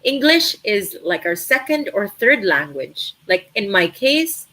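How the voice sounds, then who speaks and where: A woman's voice: mid-range voice, a little slow. A young Filipina woman with a Filipino accent, native in Tagalog, chatting casually as she answers viewers' questions on a live stream about an online course. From Philippines. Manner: chatty, animated, uses many fillers.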